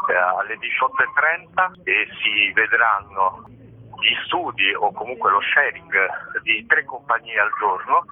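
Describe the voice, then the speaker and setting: A man, a festival organizer, giving a measured, abstract explanation as a guest in a radio interview. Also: fairly low pitch, slightly rough. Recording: tinny phone line.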